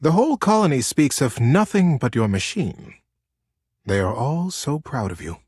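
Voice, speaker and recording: A man's voice: medium pitch, big pitch swings, moderate pace, a little mellow, slightly rough, no echo, clean studio sound. A man voicing an elderly female beaver elder, commanding yet affectionate, in a storybook. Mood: urging, proud. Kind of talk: storybook character voice.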